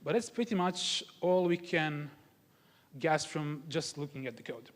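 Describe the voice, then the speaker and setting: A man's voice: on the high side, unhurried. A young man from Croatia giving a technical conference talk in a large hall, explaining his points step by step with examples. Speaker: conference speaker. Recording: long hall reverb.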